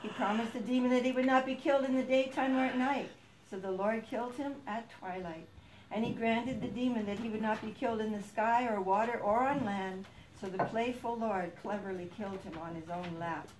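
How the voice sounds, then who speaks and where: A woman's voice: a little high, fairly even intonation, brisk, a little mellow. A woman narrating a devotional puppet show as a storyteller, stressing the start of each phrase. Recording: echoey room with faint background noise.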